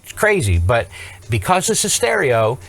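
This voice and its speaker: A man with a medium-low voice talks through a hands-on demonstration as the presenter. His delivery is informal and explanatory, sprinkled with dry, wry asides, and sounds unscripted. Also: wildly swooping pitch, moderate pace, fairly bright tone, husky. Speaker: host, electronics repair tutorial presenter.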